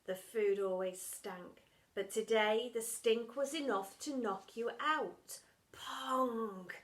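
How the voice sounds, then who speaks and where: A woman reading a children's storybook aloud like a teacher, dramatic and expressive, punching the sound words. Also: fairly high pitch, slow.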